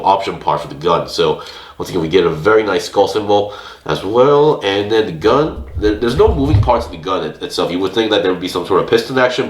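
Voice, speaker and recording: Hoarse low-voiced man reviewing a toy. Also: roomy sound. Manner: chatty, talks while handling the figure. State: hoarse, losing his voice. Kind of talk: casual hands-on product review.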